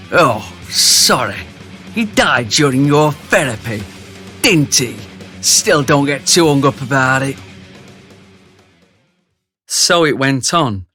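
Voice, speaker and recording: An actor playing a brutish ex-soldier who narrates a radio drama, speaking straight to the listener. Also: male voice, mid-range voice, fairly bright tone. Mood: vicious taunting. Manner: grave, confiding, addresses the listener directly. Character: remorseful thick brute ex-soldier, male confessional narrator.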